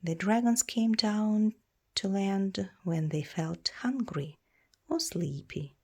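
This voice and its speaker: A woman reading a children's storybook aloud in a measured, expressive storytelling way. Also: mid-range voice, breathy edge. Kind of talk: read-aloud storybook narration.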